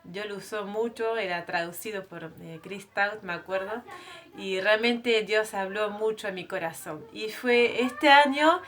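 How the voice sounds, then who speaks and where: A woman's voice: medium pitch, measured pace, a bit bright. A woman in her thirties from France, giving her missionary testimony to a group of women in an earnest, conversational way, with frequent hesitations and self-corrections. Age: 30s.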